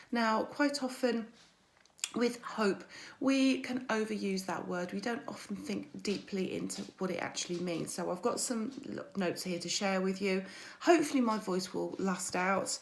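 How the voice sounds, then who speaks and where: A woman mindset coach with a croaky voice, hosting a chatty weekly livestream and greeting viewers by name. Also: mid-range voice. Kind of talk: weekly social media livestream.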